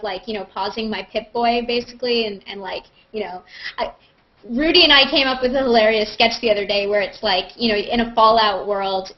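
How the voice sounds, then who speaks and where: A woman chatting casually and jokingly as the host of a group video hangout. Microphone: video call. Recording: muffled low-fidelity video call audio.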